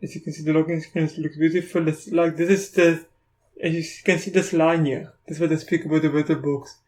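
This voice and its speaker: Halting South African man, flat voice. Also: a little high. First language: Afrikaans. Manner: halting, restarts often, thinks aloud. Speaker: tech YouTuber. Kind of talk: casual screen-recorded software walkthrough.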